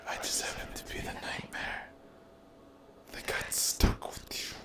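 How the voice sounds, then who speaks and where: Man acting as male nightmare demon, breathy whisper, cruel taunting, possessive, ASMR roleplay, roomy echo. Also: close to an everyday voice. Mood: menacing. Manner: slow, taunting, condescending, drawn-out phrases. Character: menacing, mocking male sleep paralysis demon, possessive and cruel.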